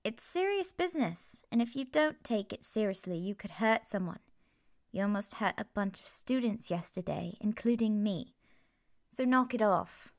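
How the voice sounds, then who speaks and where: A woman's voice: a little high, no echo, studio quality. Feisty young female apprentice mage played by a woman, blunt, scolding, serious; ASMR fantasy roleplay. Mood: indignant.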